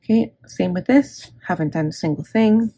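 Gravelly, low-voiced woman chatting casually. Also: moderate pace, dark tone. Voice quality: gravelly, scratchy. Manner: rambling, self-correcting, candid. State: scratchy, gravelly throat from inhaler. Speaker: planner vlogger. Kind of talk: casual plan-with-me chat. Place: indoors at a desk.

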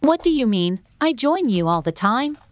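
A woman's voice: a little high, sing-song, normal speed, no room sound. A woman playing an insecure but friendly girl gamer in an amateur scripted storytime drama, speaking earnestly. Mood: hurt, earnest. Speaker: voice actor in an animated storytime drama. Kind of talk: scripted amateur roleplay dialogue.

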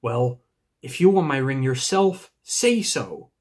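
A man's voice: medium pitch, animated, takes it slowly, pushed and bright, husky, heavily acted. A man voicing an angry, stubborn old hobbit, possessive and defiant, in a storybook reading. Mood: obstinate, indignant. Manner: defiant, obstinate, indignant.

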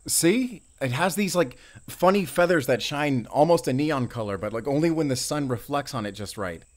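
A man's voice: mid-range voice, animated, moderate pace, lightly acted. Man acting as a chatty, eager American forest ranger. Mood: excited. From Arizona, US. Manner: talkative, eager, rambling, with small chuckles. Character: chatty, excitable, friendly young male forest ranger. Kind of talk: roleplay audio drama addressed to the listener.

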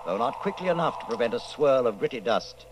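A man narrating in an ominous, deliberate old-time radio drama style. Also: mid-range voice, lively intonation, background noise.

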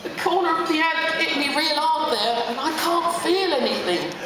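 A woman's voice: high voice, moderate pace, a crowd in the background, pitched above the performer's own voice, lightly acted. A woman voicing a skinny, cheeky London lad, agitated and whining, in a live story reading. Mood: pained, panicky. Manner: pained, whiny, sarcastic. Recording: very echoey hall with audience noise.

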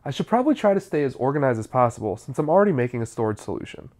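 A man's voice: medium pitch, sing-song, very fast, darkish tone. A man, narrating a how-to video in a scripted, explanatory style.

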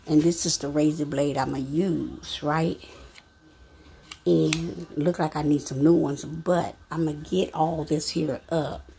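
A woman's voice: low-pitched, creaky, warm tone, slight background noise. A middle-aged woman vlogging while cleaning her home kitchen, chatty and rambling, a bit short of breath, filmed on a phone. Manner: rambling, chatty, repeats herself. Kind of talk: casual home cleaning vlog. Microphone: phone camera, speaking off-screen.

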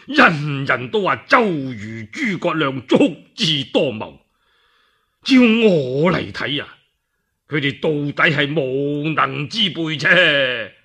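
A man performs a cornered warlord chancellor from a radio storybook tale, with a Cantonese accent. The voice is high and husky, swooping widely as he boasts or wheedles in long theatrical phrases. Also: very animated, pushed and bright, heavily acted. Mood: scornful, smug. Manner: theatrical, drawn-out pleading and boasting.

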